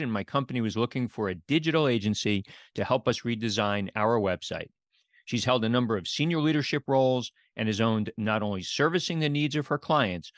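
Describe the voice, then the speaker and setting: A man hosting an interview podcast, presenting in a deliberate, announcer-like way. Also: medium pitch, no room sound, studio quality. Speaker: podcast host. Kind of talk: scripted podcast intro and interview.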